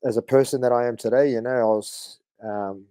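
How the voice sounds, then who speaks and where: Laid-back Kiwi man, mellow voice. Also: medium pitch, brisk, clean tone, no echo. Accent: New Zealand. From New Zealand. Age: 30s. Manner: laid-back, relaxed storytelling with frequent "bro" and fillers. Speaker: guest, rugby league player. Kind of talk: casual podcast chat.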